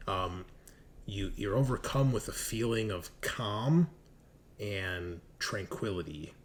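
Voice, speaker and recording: A man's voice: on the low side, expressive, takes it slowly. A man running a horror tabletop roleplaying game as game master, narrating descriptively and suspensefully.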